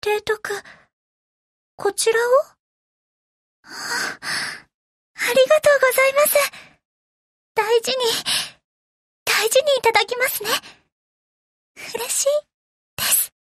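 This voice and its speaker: A sweet, polite anime girl from a game. Her voice is extremely high and gentle, with slow, halting phrases and a dry studio sound. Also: fairly even intonation, no room sound, full character voice. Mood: shy, grateful. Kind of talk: Japanese anime game voice acting.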